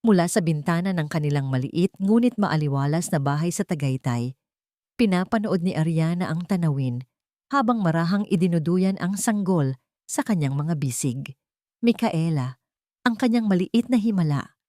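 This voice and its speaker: A woman narrating a scripted romantic story in a measured, sentimental storytelling manner. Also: fairly low pitch, lively intonation, dark tone, dry recording, clean studio sound. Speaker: narrator of romance audio stories.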